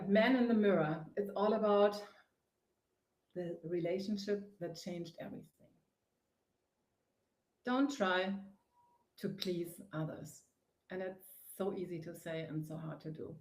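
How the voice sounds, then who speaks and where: A woman from Germany with a German accent, hosting her own inspirational live stream from a sofa, speaking earnestly and often pausing to search for words. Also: on the low side, a little mellow. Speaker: host, face yoga founder. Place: sitting on a sofa. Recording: echoey room.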